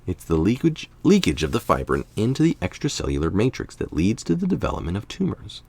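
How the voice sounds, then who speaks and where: A man's voice: on the low side, huge pitch swings, a little fast, creaky, a little mellow. A man reading a science article aloud, informally and musingly, with casual asides and the odd stumble over technical words. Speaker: article reader and commentator.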